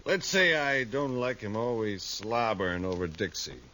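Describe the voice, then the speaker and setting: An actor playing a smug, menacing gambler in a 1950s radio western, cold and threatening. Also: male voice, lowish voice, lively intonation, normal speed, sharp tone. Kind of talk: 1950s radio drama acting.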